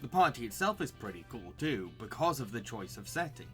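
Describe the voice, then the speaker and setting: A man's voice: mid-range voice, big pitch swings, brisk, a bit bright, faint music behind. A man hosting a video review in scripted narration, with an enthusiastic, recommending delivery.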